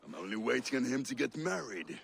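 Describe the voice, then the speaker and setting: An actor playing a groggy, sardonic man in a game cutscene, with a creaky voice and a drawling, theatrical manner. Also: medium pitch, animated, brisk. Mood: wry. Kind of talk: video game cutscene acting.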